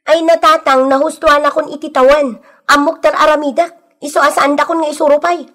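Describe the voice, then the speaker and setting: An actress playing a headstrong, secretive grown daughter in an Ilocano radio drama. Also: female voice, sits high, flat delivery, brisk, fairly bright tone. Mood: defiant, irritated. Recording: old radio recording with music behind.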